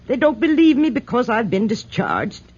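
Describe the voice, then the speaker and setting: An elderly nurse-companion, husky and earnest, fiercely protective of her mistress. She speaks with formal urgency in old radio-drama manner. The sound is muffled. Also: fairly high pitch, big pitch swings, normal speed, darkish tone. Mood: anxious, earnest. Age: older. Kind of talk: 1940s radio drama acting. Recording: muffled 1940s radio recording.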